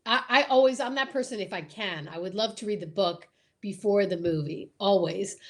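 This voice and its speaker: A woman's voice: mid-range voice, moderate pace. A woman hosting a casual live chat, speaking in an enthusiastic, emphatic way and often repeating words for stress. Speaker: host of a radio drama group's live chat.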